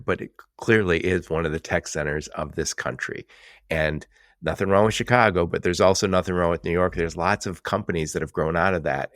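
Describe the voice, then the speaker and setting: Male podcast host, very low voice, dry close recording, brisk interviewer. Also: no room sound. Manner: brisk, friendly questioning, sums up the guest's points.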